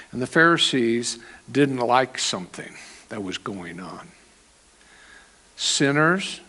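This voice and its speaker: A man's voice: medium pitch, fairly bright tone, husky. A man preaching a sermon into a microphone, in a conversational teaching manner with loose asides. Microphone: microphone with volume control.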